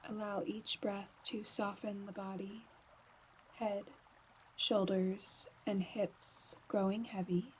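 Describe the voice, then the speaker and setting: A woman guiding a slow meditation in a soft, breathy voice, often near a whisper, calm and soothing, with long pauses. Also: mid-range voice, a little hiss or hum, poor audio. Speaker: yoga nidra guide.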